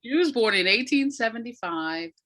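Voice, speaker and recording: Bright-voiced woman explaining thoughtfully. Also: fairly high pitch, expressive, normal speed. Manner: explanatory, thoughtful, searching for words. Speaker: academic and audio dramatist, interview guest. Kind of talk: reflective conversational explanation.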